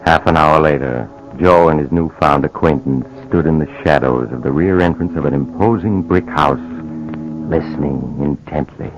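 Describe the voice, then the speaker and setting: An actor as the solemn narrator of an old-time radio mystery, telling the tale in a measured, ominous way. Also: male voice, extremely low pitch, background noise. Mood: solemn, suspenseful.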